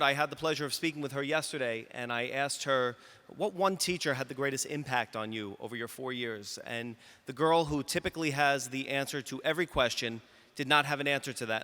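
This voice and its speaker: A man introducing the salutatorian at a graduation ceremony with warm, proud formality. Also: mid-range voice, fast talker. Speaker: school official introducing speakers. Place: large arena. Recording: arena with long reverb.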